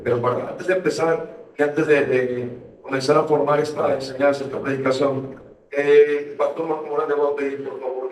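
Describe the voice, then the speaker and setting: A man who is a pastor hosting a radio program, reading scripture and talking with a fervent, emphatic preaching cadence. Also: mid-range voice. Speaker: radio show host and pastor. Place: radio studio. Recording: roomy echo, voice distant from mic.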